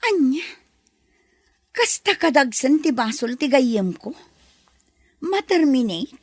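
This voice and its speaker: A woman's voice: high voice, big pitch swings, slow pace, breathy edge, no room sound, exaggerated voice. A woman acting in a radio drama as a loyal friend and employee, with a conversational delivery. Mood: deferential. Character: loyal, teasing female friend, deferential employee.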